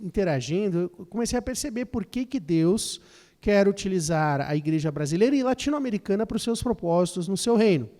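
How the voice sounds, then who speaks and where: A man's voice: sits high, expressive, brisk, warm tone. A man interpreting a lecture phrase by phrase, often starting with fillers and repeated words. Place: lecture hall. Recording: long hall reverb.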